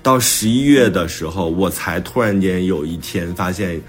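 Very low-voiced man in his forties. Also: normal speed. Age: 40s. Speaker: author and podcast speaker. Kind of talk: casual storytelling chat.

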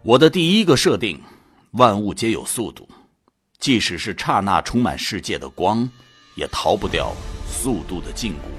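A man plays a calm God in a radio drama with a fairly low voice. He reasons solemnly and with measure, his intonation moving expressively. Faint music sits underneath. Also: normal speed. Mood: declarative.

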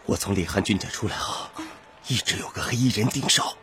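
An actor playing a nervous, self-protective husband and hunted conspirator in a Chinese radio drama, theatrical and jittery. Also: male voice, fairly low pitch, a little fast, fairly bright tone, slight background noise, natural voice. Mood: tense recounting.

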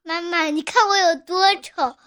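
A small, stubborn child in a comic bedtime sketch. The voice is very high and bright, with slow, unhurried speech and a whiny, innocent-sounding cheek. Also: female voice, steady tone. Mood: cheeky, stubborn.

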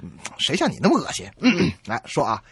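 A man co-hosts a radio comedy show with excitable, exaggerated banter. His voice is rough and husky, sits a little high, and swoops dramatically in pitch. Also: a little fast, no room sound. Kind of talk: playful scripted joke-telling banter.

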